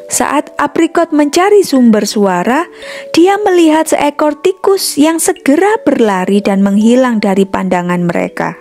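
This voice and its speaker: A woman narrates a scripted story for children. Her voice sits fairly high, and soft music plays behind her as she reads. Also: big pitch swings, measured pace.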